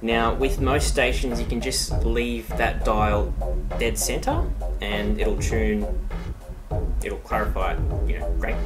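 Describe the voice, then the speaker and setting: A man with a mid-pitched voice gives a casual, hobbyist walk-through of equipment, dropping in dry asides. It is a homemade recording from a handheld device in a room, with prominent music playing behind him. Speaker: hobbyist video presenter. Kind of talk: casual talking product demonstration. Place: indoors in a room at home. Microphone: handheld iPod's built-in microphone.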